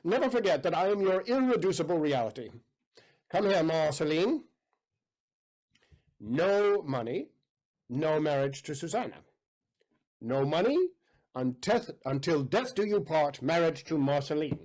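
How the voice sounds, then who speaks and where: A haughty noble count with a slightly raised voice and slow, measured delivery, as a man plays him. He speaks with stately formality and commands those around him. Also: on the high side, lively intonation, a little mellow. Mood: stern. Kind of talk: stage audio play acting with singing.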